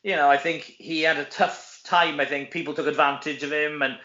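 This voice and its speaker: A Welsh man, an actor being interviewed, chatting casually in an animated, self-deprecating, joking way. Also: on the high side, a little fast. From Wales. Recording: dull, echoey room sound.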